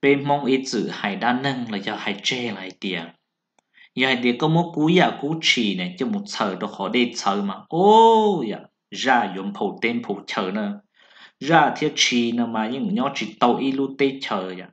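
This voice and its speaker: A man's voice: mid-range voice, lively intonation, unhurried, fairly bright tone. A man giving a rambling, casual talk about zodiac matches as a voice-over. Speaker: zodiac fortune talker. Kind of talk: casual spoken talk over a still slide. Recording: dull lo-fi sound.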